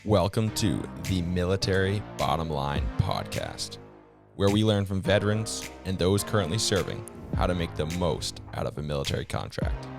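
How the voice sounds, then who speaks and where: A man's voice: sits low, very animated, moderate pace, gravelly. A man reading a scripted podcast introduction as an announcer. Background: music.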